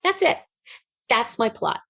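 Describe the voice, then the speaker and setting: A woman's voice: on the high side, expressive, measured pace, a bit bright, no room sound, studio quality. A woman speaking as an interview guest and writer, explaining in a conversational storytelling way and voicing questions and thoughts aloud. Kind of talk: animated conversational interview, explaining own method.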